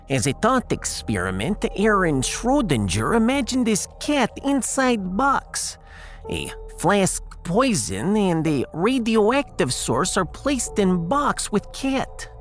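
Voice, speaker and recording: A man's voice: high voice, wildly swooping pitch, takes it slowly, soft background music, exaggerated voice. A man voicing a haughty, eccentric Russian woman scientist in a science fiction audiodrama, lecturing and teasing with a Russian accent and dropped articles.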